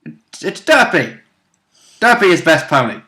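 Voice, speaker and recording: A man's voice: a little high, wildly swooping pitch, a little slow, background noise. A man co-hosting in casual banter, chipping in with joking asides. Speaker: co-host.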